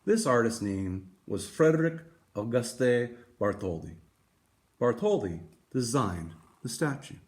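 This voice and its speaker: A man hosting a read-aloud for schoolchildren, speaking in a deliberate storytelling way to young listeners. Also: medium pitch, sing-song. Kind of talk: expressive children's picture book read-aloud.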